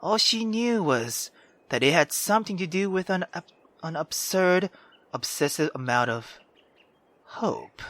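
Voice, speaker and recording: A woman's voice: on the low side, animated, unhurried, a bit bright, no echo. A woman reading a visual novel aloud as a game streamer, in a dramatic storytelling style.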